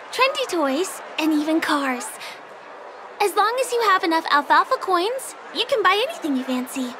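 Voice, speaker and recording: An actress voicing a cheerful, gentle young woman who works as a local tour guide in a video game, speaking politely and eagerly. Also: very high voice, background noise. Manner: polite, friendly, eager to please. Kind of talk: English video game dub dialogue. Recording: game music behind the voice. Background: background game music.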